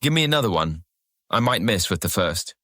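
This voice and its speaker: A man's voice: lowish voice, big pitch swings, a little fast, sharp tone, no room sound, studio quality, exaggerated voice. A man playing a reluctant young troublemaker with a grudge, wary and grumbling, in a fantasy audio drama. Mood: hesitant resolve.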